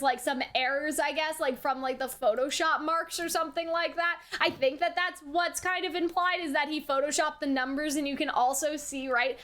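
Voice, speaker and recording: Young woman rambling through an anime review, super high bright voice, slangy and kinda exasperated. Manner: slangy, chatty, peppered with like and rhetorical checks; animated, exasperated teasing of characters. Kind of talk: casual, rambling episode recap and commentary.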